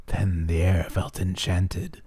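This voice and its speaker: A man narrating a rhyming folk tale as a storyteller, theatrical and expressive. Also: sits low, slow pace, slightly rough, no echo, clean studio sound.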